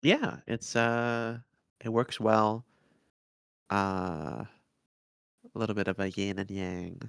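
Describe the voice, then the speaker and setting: A man co-hosting a podcast in casual discussion, speaking in measured, halting fragments. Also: on the low side, creaky, a bit bright, clean tone, no room sound, clean studio sound. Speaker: podcast co-host.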